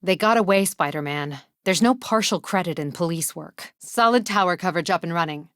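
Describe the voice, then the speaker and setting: A woman's voice: lowish voice, fairly quick, a bit bright, dry recording, lightly acted. A woman voicing a tough, no-nonsense female police captain in a video game, speaking in a terse, authoritative, dry manner. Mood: dry, businesslike.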